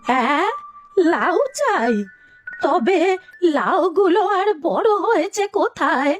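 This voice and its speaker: A woman voicing a stingy old village grandmother in a cartoon, sharp-tongued, scolding and sarcastic. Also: very high voice, lively intonation, slow, soft background music, full character voice. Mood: evasive. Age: older. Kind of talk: Bengali cartoon voice acting.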